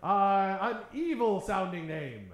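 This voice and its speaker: A man's voice: sits high, big pitch swings, slow pace, dull audio. A man hosting a live improv show in mock old-time radio style, theatrical and tongue-in-cheek, addressing a theater audience. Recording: roomy, echoing theater stage.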